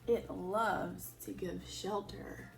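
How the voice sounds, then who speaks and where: A woman's voice: mid-range voice, steady tone, takes it slowly, gravelly, far from the mic, soft background music, low-quality recording. A woman reading a picture book aloud for young children, expressively and with questions to her listeners. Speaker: children's storyteller at a church.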